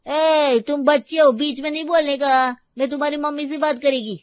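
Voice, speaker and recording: A woman's voice: high-pitched, normal speed, no room sound, highs cut off, lightly acted. A woman voicing a rude housemaid in a storybook tale, curt, complaining and talking back. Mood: scolding, smug.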